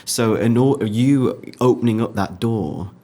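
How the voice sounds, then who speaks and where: A man's voice: mid-range voice, unhurried, dark tone. A man from England with a Birmingham accent, chatting reflectively as a podcast interviewer and often repeating his phrases. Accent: Birmingham English. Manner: reflective, repeats phrases, many "you know" and "like". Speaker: podcast interviewer, music photographer. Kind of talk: casual conversation.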